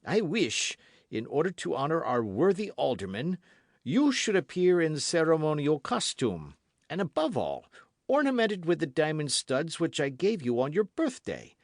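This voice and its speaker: A man's voice: fairly high pitch, wildly swooping pitch, normal speed, dry recording, studio quality, pitched above the performer's own voice. A man voicing a stern, cold-hearted king in an audiobook, speaking in a raised, demanding, imperious way. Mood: commanding. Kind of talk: audiobook character reading.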